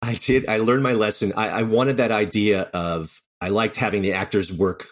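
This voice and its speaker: A man with a deep voice talks through his experiences as an interview guest. He is candid and self-deprecating, speaking conversationally. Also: steady tone, normal speed. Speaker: writer and audio drama director, interview guest.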